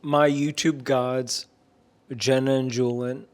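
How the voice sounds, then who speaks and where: A man's voice: medium pitch, little pitch movement, slow, smooth. A young man vlogger chatting casually and joking with his partner. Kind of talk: casual chat.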